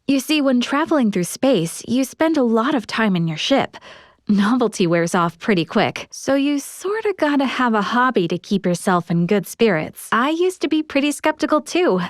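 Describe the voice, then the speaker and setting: A woman's voice: fairly high pitch, very animated, moderate pace, no room sound, studio quality. Earnest, modest young female space officer. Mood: friendly, chatty. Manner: polite, self-effacing, sincere. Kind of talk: video game voice acting.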